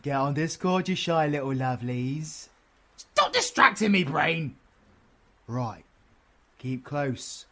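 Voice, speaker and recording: Man playing a cocky, animated British supervillain. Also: on the high side, slow, pauses often, a little hiss or hum, heavily acted. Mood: mocking, flirtatious. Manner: brash, slangy, mocking, flirtatious. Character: cocky, crude, bossy, teasing male supervillain. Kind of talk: audio roleplay drama.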